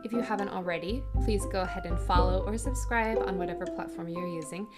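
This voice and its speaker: Woman hosting a podcast, careful and clear. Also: fairly low pitch, loud music behind the voice. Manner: slow careful spelling-out of foreign words. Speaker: podcast host. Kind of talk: scripted podcast intro and language lesson.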